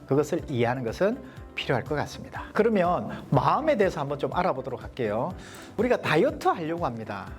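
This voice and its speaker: A man with a softened Gyeongsang accent, giving a leadership coaching lecture to a small group and posing rhetorical questions. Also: on the high side. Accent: Gyeongsang Korean, mostly softened. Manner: poses rhetorical questions, explanatory.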